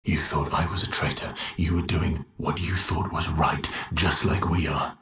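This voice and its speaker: An actor playing an anguished, guilt-ridden man in a dramatic video game, halting and breathy, often sinking to a whisper. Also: very deep voice, fairly even intonation, fairly bright tone, reverberant, full character voice. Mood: torn, resolute. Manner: halting, intense, confessional. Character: anguished, guilt-ridden man desperate to save his family.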